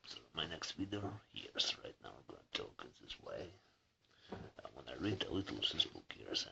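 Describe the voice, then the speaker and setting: A husky-voiced man who keeps clearing his throat, reading a book aloud haltingly for his video channel and stumbling over words. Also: extremely low pitch, big pitch swings, dull audio, background noise. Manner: halting reading with restarts and slips. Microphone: camera mic some distance away.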